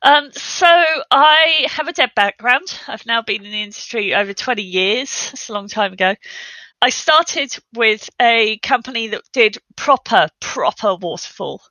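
A woman's voice: on the high side, expressive, unhurried, sharp tone, no room sound, rough recording. A middle-aged woman chatting casually and emphatically as a podcast guest, telling stories in an interview. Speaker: podcast guest, software consultant.

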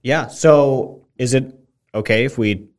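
A man hosts a relaxed-format interview in a medium-pitched voice. He phrases questions informally and tentatively, with open curiosity. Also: takes it slowly. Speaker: interviewer, host. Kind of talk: casual interview chat.

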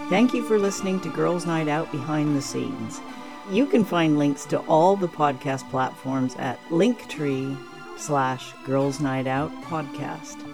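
A woman hosting a podcast in a conversational interviewing style. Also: sits low. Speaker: podcast host.

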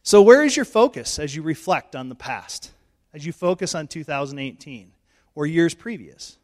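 A middle-aged male pastor, hoarse and losing his voice, giving a chatty, self-joking sermon in church on a handheld mic. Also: fairly high pitch, animated, normal speed, darkish tone. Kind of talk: informal sermon. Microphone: handheld microphone.

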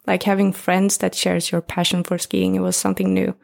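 A woman in her twenties from northern Sweden, speaking English with a Swedish accent in a relaxed, chatty interview. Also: fairly low pitch, fairly quick, vocal fry. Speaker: guest. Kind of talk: casual interview talk.

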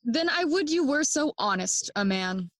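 Slow-speaking, mocking young mad prince. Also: female voice, high-pitched, very animated, fairly bright tone, no echo, studio quality, heavily acted. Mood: mocking, cryptic. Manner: deliberate, cryptic, sardonic. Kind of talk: Shakespearean acting in audio play.

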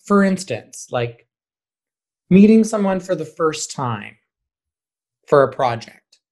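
A man who teaches and directs theater, answering interview questions in an expressive, emphatic, conversational way. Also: on the high side, slow.

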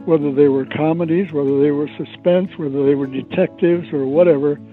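A man's voice: a little high, steady tone, a little fast. A man, an old-time radio expert explaining things informally as an interviewee. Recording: thin tinny phone line, faint music.

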